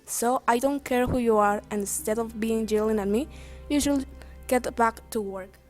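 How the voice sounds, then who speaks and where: This is a woman playing a commanding storybook peasant. The voice is a little high and full of scorn, with faint music beneath. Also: moderate pace, a little mellow, close to an everyday voice. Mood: mocking.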